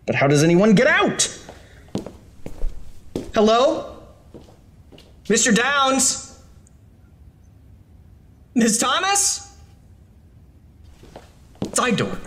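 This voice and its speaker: A big-city architect , played by a man, in an audio horror drama. He speaks slowly and warily, his pitch rising and falling widely, and he sounds uneasy, alone in a dark library. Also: heavily acted. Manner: skeptical and uneasy, turning to panicked pleading.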